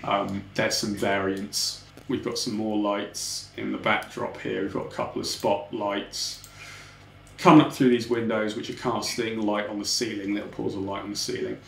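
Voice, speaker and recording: A man's voice: on the low side, flat delivery, normal speed, gravelly, fairly bright tone. A young man casually talking through his 3D animation work in a tutorial, full of "kind of" and "um" as he clicks through his setup at a desk. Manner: informal, technical, frequent "kind of" and "um" hedges. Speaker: 3D artist explaining his work. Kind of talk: relaxed screen-recorded tutorial walkthrough. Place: small home office. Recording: roomy home office with faint background hum.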